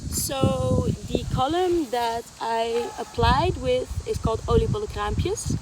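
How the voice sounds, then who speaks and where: A woman's voice: fairly high pitch, slow pace. A nineteen-year-old woman student who grew up in Tanzania, introducing herself. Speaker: university student, columnist nominee. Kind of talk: self-introduction to camera. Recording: noisy outdoor ambience with insects.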